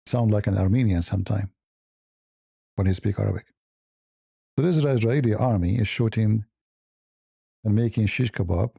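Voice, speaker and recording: Husky, very dark-voiced man commentating. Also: on the low side, normal speed, pauses often, no echo, clean studio sound. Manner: opinionated, blunt, rambling with hesitant fillers. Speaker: host. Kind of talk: live news commentary over clips.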